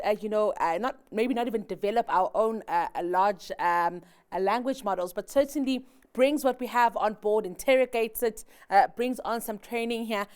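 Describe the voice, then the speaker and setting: Woman interviewer with a smooth, clear voice. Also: fairly high pitch. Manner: thoughtful, hesitant with fillers while framing questions. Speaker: TV business news interviewer. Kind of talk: TV interview questions.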